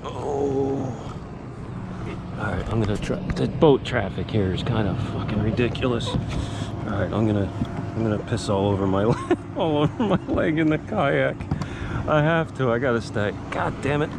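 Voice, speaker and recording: A middle-aged man with an average-pitched voice rambles bluntly and swears freely as he films his own fishing trip. Loud wind, water and engine noise sit right under his voice from a close camera mic. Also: moderate pace, creaky. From New Jersey. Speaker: fishing vlogger. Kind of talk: casual self-filmed vlog. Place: kayak on open water, then inside a car. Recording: loud outdoor and vehicle noise. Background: loud wind, water and road noise.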